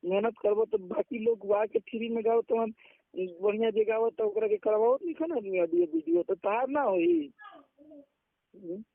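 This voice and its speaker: A man's voice: high-pitched, moderate pace, gravelly, dry recording. A man with a Bhojpuri accent in an informal phone conversation, pleading and persuasive, often checking that the listener agrees. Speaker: music artist with own studio. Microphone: phone call. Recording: muffled recorded phone call.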